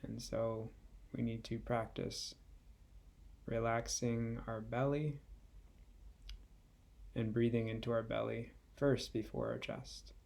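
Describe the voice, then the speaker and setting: A man guiding a meditation in a gentle, unhurried way, leaving long pauses between short phrases. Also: medium pitch, flat delivery, gravelly. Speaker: meditation guide. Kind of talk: guided body scan meditation.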